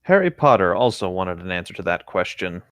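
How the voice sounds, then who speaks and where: Man, animated pitch, dry close recording, casual story narration, theatrical touches, occasional stumbles. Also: brisk, dry recording, muffled recording. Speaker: co-reader on a fan fiction riffing show. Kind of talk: casual storybook-style narration with joking asides.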